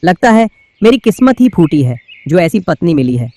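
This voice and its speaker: A man's voice: sits high, very animated, moderate pace, dark tone, no room sound. A man voicing a grumbling, henpecked male crow husband in a cartoon. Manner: grumbling, complaining. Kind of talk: cartoon voice acting.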